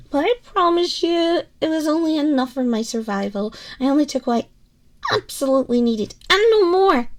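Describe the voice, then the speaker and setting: A tiny furry creature girl, acted by a woman for an ASMR roleplay, with a very high voice and a slow, halting delivery. She is timid and apologetic, stammering as she pleads her case directly to the listener. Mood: pleading.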